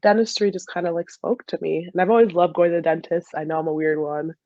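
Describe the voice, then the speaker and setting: A woman's voice: on the low side, fairly even intonation, fast, mellow, dry recording. A woman in her twenties chatting casually as an interview guest, informal and easygoing, on slightly muffled audio. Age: 20s. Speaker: interview guest, dental student and soccer player. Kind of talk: casual chat.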